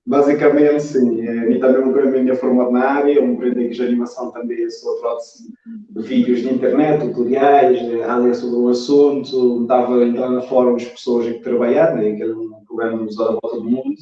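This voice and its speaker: Male interview guest, Cape Verdean accent, mellow and hesitant, heard from far off in a very reverberant space. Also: mid-range voice. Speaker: interview guest, animation creator. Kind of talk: casual interview answers. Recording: very echoey, distant, dull sound.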